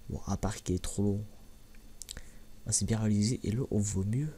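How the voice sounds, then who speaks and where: A man gives a casual, rambling ASMR-style commentary in a soft, hushed, hesitant voice, often repeating words. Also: fairly low pitch, moderate pace. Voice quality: soft, hushed voice, sometimes near a whisper. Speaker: host of an anime review video. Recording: clearly audible background noise.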